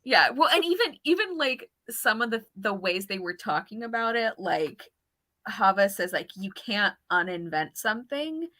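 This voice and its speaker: A woman with a bright, forward voice at a middling pitch talks through a book as a co-host. Her delivery is informal and animated, and she drops in quoted lines and reactions as she goes. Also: moderate pace. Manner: animated, informal, quotes characters and thoughts in talk. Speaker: co-host of a book discussion show. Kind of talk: casual book discussion.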